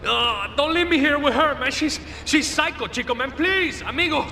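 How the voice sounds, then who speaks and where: A frightened Colombian gangster held captive in a crime game, very high and creaky. He begs haltingly in a reverberant, noisy hall. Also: bright, forceful tone, distant mic, exaggerated voice. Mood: panicked pleading. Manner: pleading, stammering, whimpering. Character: terrified Colombian cartel prisoner being tortured, pleading.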